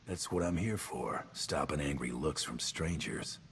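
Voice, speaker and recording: A man voicing a cocky, deadpan bounty hunter in a video game, dry and self-assured. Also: very deep voice, measured pace, slight background noise.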